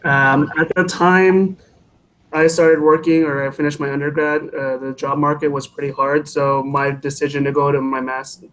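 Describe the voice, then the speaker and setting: Brief: man, late 20s, panelist at a college event, level monotone delivery, faint hiss behind. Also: fairly high pitch, poor audio. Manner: measured, advice-giving, with frequent um pauses. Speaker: engineering panelist. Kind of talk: panel answers to students.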